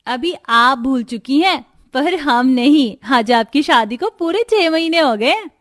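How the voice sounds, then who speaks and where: A woman's voice: sits high, expressive, measured pace, no room sound, studio quality, pitched above the performer's own voice. A woman voicing a doting, eager young sister-in-law in a cartoon, caring and insistent. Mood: cheerful. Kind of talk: Hindi cartoon story dialogue.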